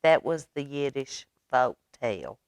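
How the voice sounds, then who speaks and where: A woman reading a picture book aloud to children in a dramatic, expressive way, drawing out words. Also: extremely low pitch, no echo. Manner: dramatic, expressive, with drawn-out words and hushed asides. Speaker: library storyteller reading to children. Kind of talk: animated picture-book read-aloud with sound effects.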